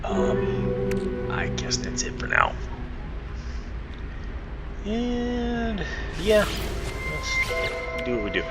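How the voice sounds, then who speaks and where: A man's voice: huge pitch swings, extremely slow, pauses often, music in the background. A man giving casual video game commentary, thinking aloud and mumbling to himself in a rambling, easily delighted way. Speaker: gaming channel host. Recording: very noisy, constant loud background rumble.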